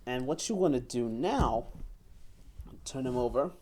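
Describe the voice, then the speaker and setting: A young man giving step-by-step hands-on instructions as a toy reviewer, haltingly, often repeating and correcting himself. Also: mid-range voice, lively intonation, background noise. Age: young adult. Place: small room at home, indoors.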